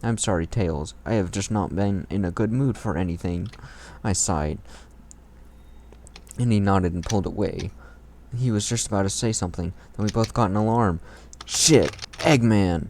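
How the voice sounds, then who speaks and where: A man's voice: on the low side, moderate pace, darkish tone, slight background noise. A man reading fan fiction as an amateur, stumbling over words and breaking into casual commentary.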